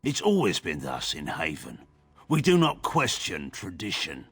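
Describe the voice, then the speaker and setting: Casting: curt, suspicious guard for a video game, forceful rough low voice, terse delivery. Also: male voice, wildly swooping pitch, a little slow, dry recording, soft background music, exaggerated voice. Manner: terse, stern.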